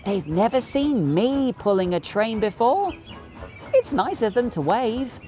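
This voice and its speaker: A man voicing a cheeky, impatient young male tank engine in an excitable, self-important manner for a children's storybook. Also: extremely high pitch, unhurried, pitched above the performer's own voice, exaggerated voice. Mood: proud, excited.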